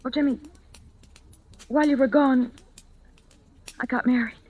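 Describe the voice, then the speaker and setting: A woman's voice: high-pitched, steady tone, unhurried, muffled recording. An actress playing a frightened young woman on the run in a 1940s radio drama, hesitant and pleading. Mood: upset, confessing. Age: young adult.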